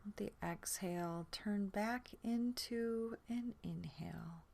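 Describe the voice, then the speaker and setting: A woman leading a guided sleep meditation in a gentle, soothing manner, her soft voice often trailing into a breathy near-whisper. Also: medium pitch. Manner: soothing, gentle, unhurried with long pauses.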